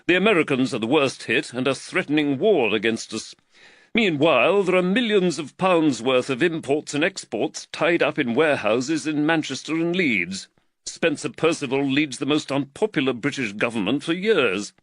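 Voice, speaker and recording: A man reading an audiobook voices an earnest, fretful London magistrate, speaking higher, louder and more emphatically than in his narration. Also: lively intonation, normal speed, dry recording. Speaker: magistrate and employer.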